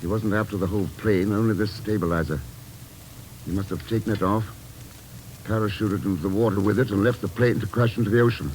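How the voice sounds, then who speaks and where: Man playing a shrewd, measured radio investigator. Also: on the low side, fairly even intonation, darkish tone, slight background noise, close to an everyday voice, poor audio. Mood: shrewd, deducing. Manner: measured, deliberate, wry. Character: cool, shrewd, dryly witty male investigator. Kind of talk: 1940s-50s American radio drama acting.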